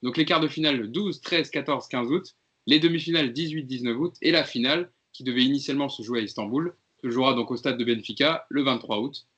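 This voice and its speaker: A man hosting a casual football fan podcast, steering the talk and reading out the facts in a brisk way. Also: medium pitch, fairly bright tone, lo-fi sound. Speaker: podcast host.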